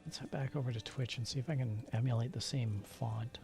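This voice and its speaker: A man's voice: medium pitch, steady tone, fairly quick, gravelly. A man streaming casually, muttering to himself and often dropping to a half-whisper as he works through settings. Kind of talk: casual livestream chat while troubleshooting settings. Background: music.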